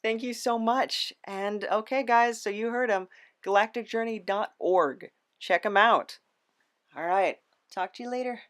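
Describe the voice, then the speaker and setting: A woman's voice: on the high side, unhurried, a bit bright. A woman hosting a podcast, talking casually as an interviewer. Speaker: podcast host.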